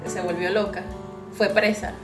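A woman's voice: medium pitch, level tone, normal speed. A Venezuelan woman chatting casually as a podcast co-host. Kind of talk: casual chat. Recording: roomy echo, guitar music behind. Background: guitar music.

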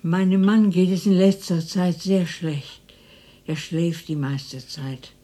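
A woman's voice: fairly low pitch, fairly even intonation, takes it slowly, mellow. An actress playing a lonely, motherly elderly neighbour in radio drama dialogue, with a fussing, hospitable manner. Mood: gentle, hospitable. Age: older.

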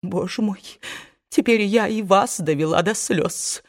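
A woman voices a timid old aunt who frets and scolds in a hushed, slowed voice. She sounds weepy and easily shocked, as in a read-aloud novel. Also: fairly high pitch, pitched above the performer's own voice, close to an everyday voice. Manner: hushed, fretful, slow.

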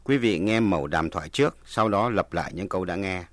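Male Vietnamese lesson presenter, lower-pitched, scripted instructional delivery, dry studio sound. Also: quick pace, no echo. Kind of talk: scripted language lesson narration.

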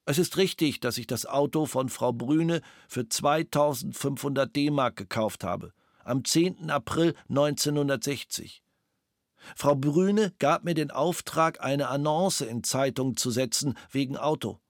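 A man's voice: medium pitch, a little slow, dry recording, studio quality, lightly acted. A man reading an ex-soldier's sworn statement. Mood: flat, factual. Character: former soldier and gunsmith giving a witness statement. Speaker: reader of interrogation protocols. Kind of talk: sober read-out statement.

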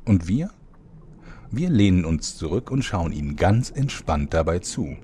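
A man playing the calm storyteller, giving scripted narration for a parody fantasy audio drama. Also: sits low, measured pace. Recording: faint background noise.

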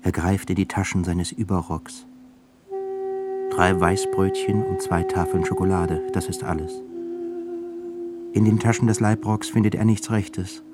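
A man narrating a radio drama in a measured, solemn way. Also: low-pitched, steady tone, over loud music.

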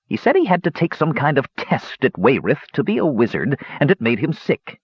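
Guy putting on a cranky old dwarf hermit, high growly husky voice, folksy backwoods talk, fantasy audiobook. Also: very animated, moderate pace, no room sound, lo-fi sound. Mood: rambling, folksy. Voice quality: coarse, growling. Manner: folksy, rustic, ungrammatical speech. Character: grumpy, battle-weary old male dwarf hermit.

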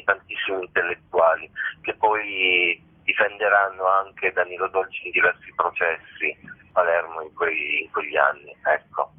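Low-voiced man on a phone line. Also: breathy edge. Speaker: stage actor interviewed about a show. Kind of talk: phone interview. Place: on a phone call to a radio station. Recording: muffled narrow telephone line.

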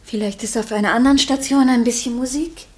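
An actress in a German radio play playing an anxious, haunted young wife who speaks in a hushed, fretful way. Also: female voice, a little high, fairly even intonation, fast, a little hiss or hum, lightly acted. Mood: subdued, uneasy.

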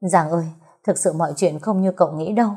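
A woman's voice: on the low side, fast, dark tone, breathy edge. A woman voicing a meek, guilt-ridden young daughter, pleading and apologetic, in an audio story.

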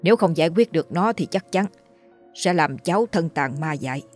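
A woman voicing a grave older uncle versed in exorcism, who speaks in a low, hushed and brisk, solemn way. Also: lively intonation, faint music behind.

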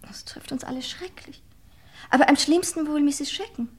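An actress as an airy young society lady in an old radio mystery. Her voice is fairly high, and she chats in a polished, wry way. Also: normal speed, in a whisper, close to an everyday voice. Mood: wry, wistful. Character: airy, wry young English upper-class lady, lord's niece. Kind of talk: vintage German crime radio drama acting.

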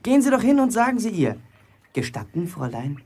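An actor playing a cheeky, boastful young bricklayer in a German radio play, talking colloquially and defiantly. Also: male voice, sits high, very animated, a little fast, a little mellow, husky, full character voice. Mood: defiant, mocking. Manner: colloquial, provocative, self-justifying.

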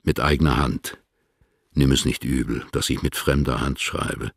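An actor in a radio drama playing an eminent older male poet, reserved and courtly, with a measured and intimate delivery. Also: rumbling low, steady tone, gravelly, no room sound, studio quality, exaggerated voice. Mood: courteous, reserved. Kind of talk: radio drama, letters and dialogue.

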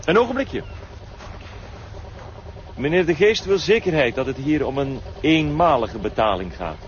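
An actor playing an unruffled, formally polite and mocking criminal go-between in a TV crime drama. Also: male voice, a little high, expressive, measured pace. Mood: controlled. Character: smooth-talking, unruffled male go-between for a criminal. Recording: dull lo-fi outdoor scene, clear noise.

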